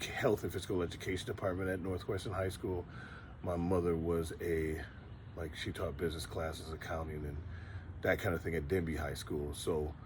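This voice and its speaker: A middle-aged man speaks in a very low, deep register. He talks in a relaxed, rambling vlog style, stopping to restart his sentences as he remembers. A faint ambient hum sits behind him. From Detroit, USA. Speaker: vlogger. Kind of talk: casual storytelling monologue.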